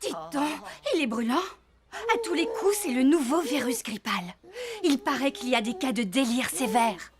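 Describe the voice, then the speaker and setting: A woman voicing a brisk, officious school nurse in a French cartoon, matter-of-fact and emphatic. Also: high-pitched, animated, a crowd in the background. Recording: music behind the voice.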